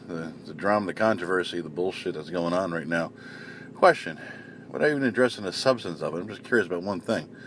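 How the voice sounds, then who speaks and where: A man calls in with a casual voicemail. His voice sits a little low, and he talks bluntly and informally. A faint layer of noise runs behind him. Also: a bit bright. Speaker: podcast caller.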